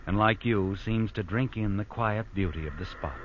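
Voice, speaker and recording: A man's voice: fairly low pitch, normal speed, very noisy, close to an everyday voice. A man playing a knowing, ominous narrator in old radio suspense drama, dramatic and needling in his phrasing. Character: knowing, ominous noir narrator addressing the culprit. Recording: dull old radio broadcast. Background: dramatic music.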